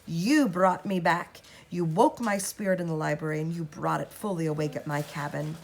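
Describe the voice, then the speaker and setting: A woman voicing a vengeful old spirit woman who mocks and booms with hatred in an audiobook. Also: moderate pace, slight background noise. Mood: gloating. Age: older. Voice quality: menacing, booming, mocking. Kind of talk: audiobook character voice.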